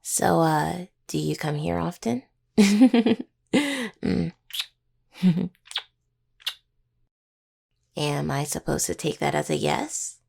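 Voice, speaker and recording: A young woman playing a caring, teasing necromancer healer in an intimate audio roleplay, with a husky voice and conversational delivery. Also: sits low, drawn-out words, pauses often, mellow, full character voice. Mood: playful teasing. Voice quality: husky, gravelly. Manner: hesitant, teasing, full of pet names.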